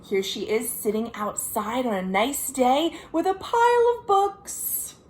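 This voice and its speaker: An American woman, a children's librarian, reading a picture book aloud in an expressive, sing-song way with chatty asides to young listeners. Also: sits high, a little slow, echoey room. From United States. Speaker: children's librarian hosting a virtual storytime. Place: at home.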